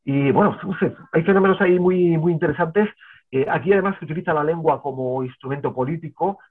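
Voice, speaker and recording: A man from Spain talking in a panel discussion over a video call, halting and searching for words. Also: a little high, husky. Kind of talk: casual discussion. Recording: tinny phone-like call audio.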